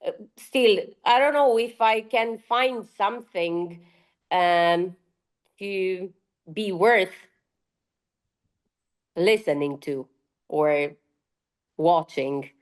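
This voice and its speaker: A woman's voice: fairly low pitch, very slow, long pauses. A woman teacher on an online panel, describing her classroom use of podcasts in a hesitant way with many restarts. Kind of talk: panel discussion answer. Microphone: online video call.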